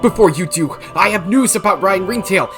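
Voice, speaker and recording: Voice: mid-range voice, animated, moderate pace, music in the background, close to an everyday voice. A performer playing a nervous amphibian minion in an audio drama, stammering and pleading. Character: cowering, nervous amphibian servant spy.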